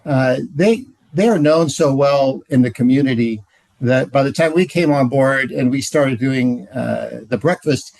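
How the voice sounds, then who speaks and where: A man's voice: medium pitch, measured pace, gravelly, darkish tone, no echo, low-quality recording. Hesitant older man with a dry voice. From Central California. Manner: hesitant, many fillers. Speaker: marriage ministry director. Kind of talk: sharing experience on a training call. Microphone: video call.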